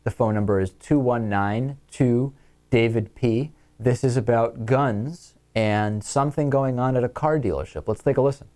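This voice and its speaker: A male talk show host with an American accent. He speaks at a middle pitch in a commentary style, moving between listener mail and his own remarks. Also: normal speed, darkish tone.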